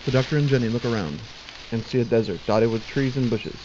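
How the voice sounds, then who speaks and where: A man giving plain, steady scripted narration for an audio drama. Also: mid-range voice, fast talker, lo-fi sound, noisy background. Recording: music bed behind.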